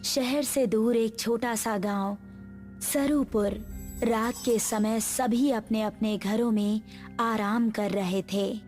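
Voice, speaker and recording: A woman's voice: a little high, fairly even intonation, slow pace, a little mellow, faint music behind. A woman reading a scripted horror story as its narrator.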